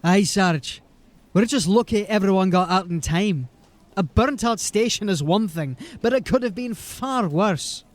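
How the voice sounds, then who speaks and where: A man's voice: sits high, lively intonation, measured pace. A man playing a folksy, rambling, deferential corporal of a fantasy city watch in an audio drama. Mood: earnest. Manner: folksy, rambling, eager to please.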